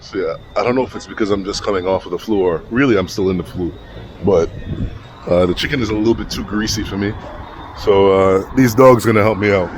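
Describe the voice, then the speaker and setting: Man, deep voice, casual walk-and-talk vlogger, handheld camera mic, outdoor street noise. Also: fairly quick. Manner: loose, conversational, thinking aloud with restarts. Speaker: travel vlogger. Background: street traffic and city ambience.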